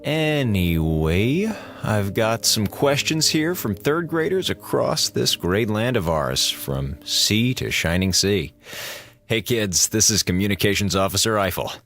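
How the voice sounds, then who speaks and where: A man's voice: on the low side, sing-song, measured pace, a bit bright, exaggerated voice. An American man playing a sarcastic, grumbling space station communications officer, flippant and exasperated, delivering a radio drama monologue. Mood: sardonic. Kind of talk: audio drama monologue, mock radio address to schoolchildren.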